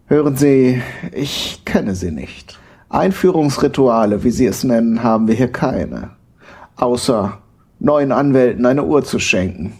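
An actor playing a curt, dismissive head of a law firm in a German audio drama, husky and authoritative. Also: male voice, mid-range voice, animated, measured pace, a little mellow. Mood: cold, dismissive. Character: stern male law firm boss, dismissive.

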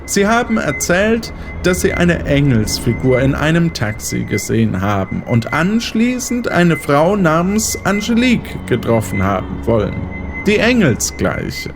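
A man's voice: a little high, sing-song, slow pace, over music, heavily acted. An actor playing a smug, menacing male pursuer in a radio play, condescending and theatrical.

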